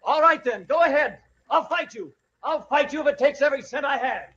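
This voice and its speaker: An actor playing an earnest, troubled and proud American rancher in an old-time radio western. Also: male voice, extremely high pitch, animated, a little fast, over loud music. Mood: agitated, defiant. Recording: muffled vintage radio drama recording.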